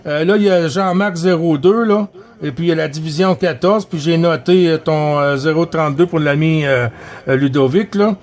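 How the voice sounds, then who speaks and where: High-pitched man with a Quebec French accent. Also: moderate pace, darkish tone. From Quebec, Canada. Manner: rambling, repetitive, colloquial. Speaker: CB radio operator running a contact net. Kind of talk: casual radio chat.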